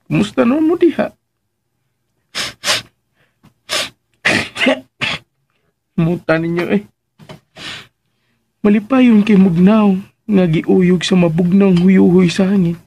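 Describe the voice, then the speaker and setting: An actor with a Filipino accent playing a playful, lovesick suitor in a radio drama, cooing and sulking. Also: male voice, high-pitched, creaky, slightly rough, muffled recording, exaggerated voice. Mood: dreamy, cheerful. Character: playful, lovesick suitor who sulks and coaxes.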